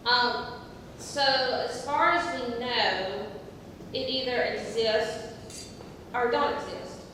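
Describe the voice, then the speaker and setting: A woman acting in an amateur stage comedy as a giggly character who tells rambling jokes in a halting way. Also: on the high side. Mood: earnest, explaining. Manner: halting, slow, rambling explanations. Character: giggly woman slowly telling a rambling joke. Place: theatre stage, picked up from afar. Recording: distant, echoey theatre hall, faint noise.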